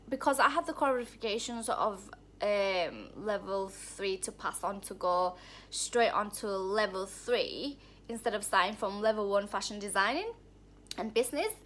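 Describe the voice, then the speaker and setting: A woman's voice: fairly high pitch, a bit bright. A woman speaks to the camera from a room at home. She tells her own story in a candid, heartfelt way, rambling and restarting her sentences as she goes. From Thailand. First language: Thai. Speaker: vlogger. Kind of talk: personal story vlog.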